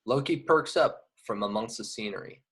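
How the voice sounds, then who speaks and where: A man's voice: mid-range voice, moderate pace, fairly bright tone, breathy edge. A man narrating scripted action descriptions at a table read. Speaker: stage direction narrator.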